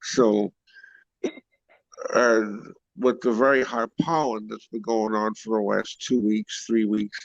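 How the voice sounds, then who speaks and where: A congested man with a deep, gravelly voice, talking bluntly and slowly in a casual chat, cursing freely. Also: fairly even intonation, no room sound. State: congested, coughing. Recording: dull dry lo-fi recording.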